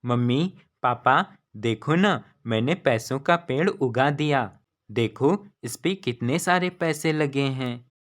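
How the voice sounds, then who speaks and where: A man's voice: mid-range voice, animated, slow, a bit bright. A man voicing an earnest, kind-hearted young boy in a cartoon story, eager and pleading. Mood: excited.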